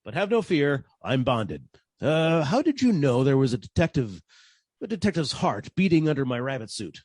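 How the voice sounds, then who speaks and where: An actor playing a wisecracking, unflappable private detective in an old-time radio drama, with a husky voice and a wry, brisk manner. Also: male voice, mid-range voice, sing-song, darkish tone, no room sound.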